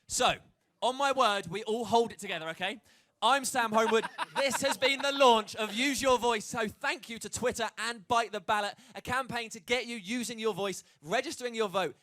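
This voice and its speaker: A man with a high, bright voice hosts a live launch show indoors. He talks upbeat and chatty, rallying the crowd to gather round and join in. His tone stays sharp and forward. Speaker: host. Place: indoor event space with live audience.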